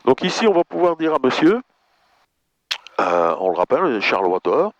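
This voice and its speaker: A man's voice: medium pitch, very animated, moderate pace, no room sound. A man working as a flight instructor, patiently explaining radio calls step by step and prompting phrases to repeat. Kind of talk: hands-on cockpit coaching. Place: small training aircraft cockpit. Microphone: aviation headset. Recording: muffled aircraft intercom audio.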